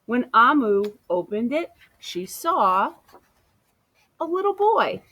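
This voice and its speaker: Bright, unhurried woman reading a storybook. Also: on the high side. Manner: deliberate, animated storytelling with drawn-out words. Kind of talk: expressive read-aloud of a children's picture book.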